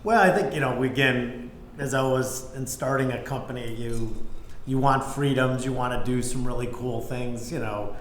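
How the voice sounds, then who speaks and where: A man's voice: mid-range voice, moderate pace. Middle-aged man telling stories, echoey room. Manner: informal, peppered with "you know" and "like". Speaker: business executive, interview guest. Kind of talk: conversational anecdotal storytelling. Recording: echoey room, faint background noise.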